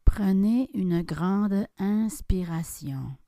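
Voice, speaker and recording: A woman guiding a yoga nidra relaxation, giving calm, soft instructions with long pauses between phrases. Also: mid-range voice, dry recording. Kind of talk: guided relaxation meditation.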